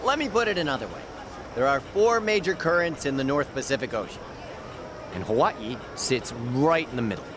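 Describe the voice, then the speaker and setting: A man's voice: mid-range voice, expressive, normal speed, background noise, natural voice. An actor playing a cynical, wry, streetwise local man in an English-dubbed video game, chatty and given to sardonic explanations.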